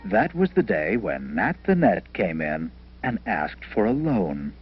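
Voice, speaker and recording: Low, animated male storybook narrator. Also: unhurried, breathy edge. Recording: dull old 1970 film soundtrack. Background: music.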